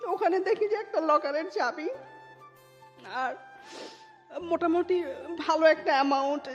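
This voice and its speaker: A woman's voice: sits high, muffled recording. A woman telling her personal story emotionally as an interview guest, mixing in English words.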